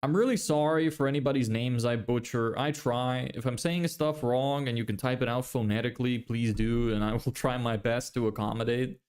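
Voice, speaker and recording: A man's voice: medium pitch, fairly even intonation, moderate pace, hardly any pauses, a little mellow, smooth. A young man from Lithuania with an American-sounding accent, chatting casually on a livestream, reading chat and swearing now and then, into a studio mic in a small bedroom. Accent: American-sounding English. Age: young adult. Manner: chatty, self-deprecating, reads chat aloud and swears casually. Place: small bedroom with a window, indoors. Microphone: large studio mic on a stand in front of him.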